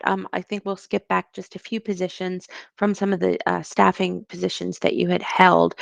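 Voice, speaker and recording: Gravelly-voiced woman interviewer. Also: medium pitch, no echo. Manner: polite, encouraging, many fillers. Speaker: job interviewer. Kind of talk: friendly interview questions. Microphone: video call.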